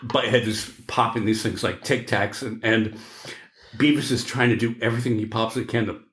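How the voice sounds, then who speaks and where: Deep-voiced man in echoey room. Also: steady tone, brisk, a bit bright. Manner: opinionated, profane. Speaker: podcast co-host. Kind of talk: casual chat.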